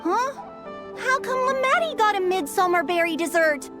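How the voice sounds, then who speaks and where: A woman's voice: very high-pitched, expressive, moderate pace. A woman voicing a small, earnest village child with a plaintive, childlike manner in a video game. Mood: childish indignation. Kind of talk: scripted video game voice acting. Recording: music behind the voice.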